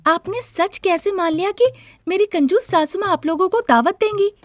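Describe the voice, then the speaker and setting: A woman voicing a sharp, mocking young daughter-in-law in an animated, incredulous manner for a story. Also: extremely high pitch, normal speed, faint music behind, voice pushed up.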